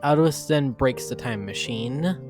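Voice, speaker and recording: A man's voice: medium pitch, lively intonation, unhurried, music in the background. A man narrating a scripted dramatic story, now and then stumbling over the words.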